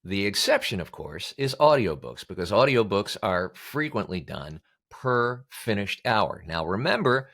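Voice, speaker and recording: Male coach, lowish voice with very lively intonation, emphatic scripted instructional delivery in plain, punchy language. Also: a little slow, gravelly, hardly any pauses. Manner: emphatic, blunt, colloquial. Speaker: voice-over coach hosting an advice show. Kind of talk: scripted instructional talk to camera.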